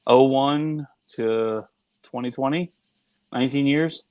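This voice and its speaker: Middle-aged guy w a high nasally voice, chatty podcast cohost. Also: slow pace, a little mellow, no room sound. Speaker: podcast co-host. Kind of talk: casual chat.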